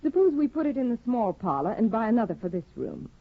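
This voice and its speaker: An actress playing a cold, bored, manipulative young wife in a 1950s radio drama. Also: female voice, on the high side, big pitch swings, fast talker. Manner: cool, mocking, commanding. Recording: tinny, phone-like 1950 radio broadcast.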